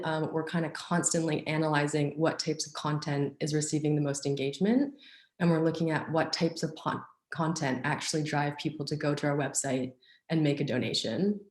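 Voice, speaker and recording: A woman's voice: low voice, darkish tone, echoey room. A young woman with a cold presents social media fundraising tips at a conference, upbeat and full of fillers. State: has a cold and cough. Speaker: nonprofit communications officer.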